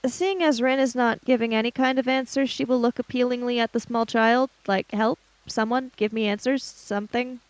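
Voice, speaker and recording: A woman's voice: a little high, steady tone, normal speed, hardly any pauses, dry recording, rough recording. A woman playing a tabletop roleplaying game, casually describing her character in a tentative, trailing way.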